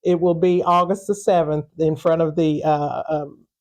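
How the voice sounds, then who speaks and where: A woman speaking off the cuff as an interview guest, pausing mid-phrase and repeating words as she goes. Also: sits low, fairly even intonation, darkish tone. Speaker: interview guest, historical preservation group organizer. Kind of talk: unscripted interview talk.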